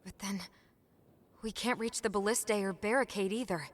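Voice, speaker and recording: A woman's voice: medium pitch, normal speed, natural voice. A woman playing a thoughtful, earnest expedition member in a video game, speaking seriously. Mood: concerned. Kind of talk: video game cutscene acting. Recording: some ambient noise behind.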